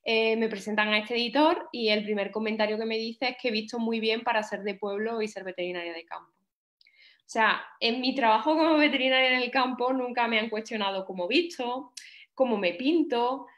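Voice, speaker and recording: A woman's voice: fairly high pitch, moderate pace, a bit bright. A woman from Spain answering questions as a guest poet at a reading, talking in a thoughtful way and often checking in with short tag questions. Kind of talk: conversational answers at a poetry event.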